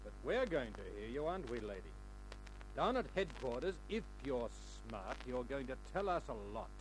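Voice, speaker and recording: An actor playing a brisk, clipped, commanding police sergeant in a 1950s radio drama. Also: male voice, medium pitch, sing-song, natural voice. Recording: noisy old radio recording with hum.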